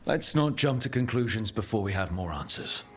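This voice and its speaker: A man's voice: lowish voice, animated, moderate pace, a bit bright, husky. A man playing an earnest, helpful young leader in a video game, speaking diplomatically. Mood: reassuring. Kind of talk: video game cutscene acting. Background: music.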